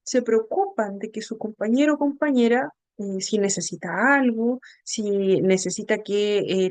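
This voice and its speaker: A woman with a Chilean Spanish accent giving an informal lecture as an instructor, halting as she searches for words and adding frequent tag questions. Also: medium pitch, darkish tone, no room sound. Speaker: instructor of an inclusive education class.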